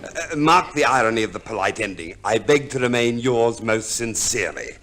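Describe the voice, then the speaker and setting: An actor playing a fussy, shrewd divorce lawyer in a radio play, with a pedantic, precise manner and hesitant stammers. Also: male voice, mid-range voice, lively intonation, moderate pace, fairly bright tone, natural voice. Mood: relishing instruction. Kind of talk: 1950s radio play acting.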